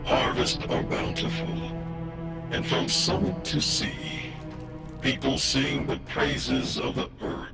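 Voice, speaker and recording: An actor voicing a grandiose, menacing undead fiend in a video game, speaking in theatrical, ominous pronouncements. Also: male voice, mid-range voice, steady tone, takes it slowly, gravelly. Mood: ominous, scornful. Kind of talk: dramatic video game villain acting. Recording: very loud music over the voice.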